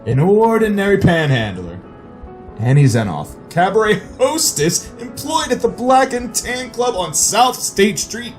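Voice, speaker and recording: An actor playing a haughty, condescending upper-class husband in a retro radio drama, with a pompous manner. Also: male voice, sits high, big pitch swings, measured pace, faint music behind. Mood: scornful amusement.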